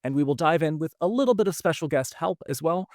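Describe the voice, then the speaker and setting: A man hosting a podcast, telling a history story in a relaxed, conversational way, with frequent fillers. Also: a little high, quick pace, no room sound, clean studio sound. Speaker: podcast host. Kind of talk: casual storytelling narration.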